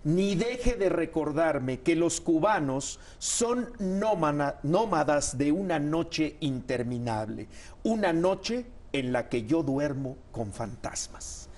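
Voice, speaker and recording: A man hosting a morning show, interviewing a guest in a measured, attentive way. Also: on the high side, lively intonation, a little mellow. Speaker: radio show host.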